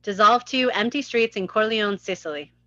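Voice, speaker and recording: A woman narrates stage directions from a script at a table read. Her voice is forward and bright at an average female pitch, recorded dry with a slightly lo-fi sound. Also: normal speed, dry recording.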